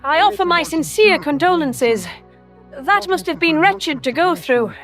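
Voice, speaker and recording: A woman playing an ancient, principled alien predator soldier who speaks in a smooth, formal, reproachful way in an audio story. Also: very high-pitched, expressive, measured pace, fairly bright tone, heavily acted. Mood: earnest, grieving. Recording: music under the voice. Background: music.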